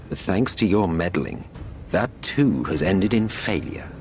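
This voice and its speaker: An actor playing an ancient, scornful immortal sorcerer in a video game, theatrical and condescending, with a drawn-out sardonic delivery. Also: male voice, deep voice, animated, soft background music. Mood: grave disdain. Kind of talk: dramatic video game voice acting.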